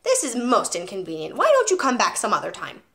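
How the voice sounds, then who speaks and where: A woman's voice: on the high side, wildly swooping pitch, a little fast, vocal fry, fairly bright tone, full character voice. A woman reading as a snappish rude little man. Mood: irritated. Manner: curt, dismissive, snappish. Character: rude, ratty little man, impatient father.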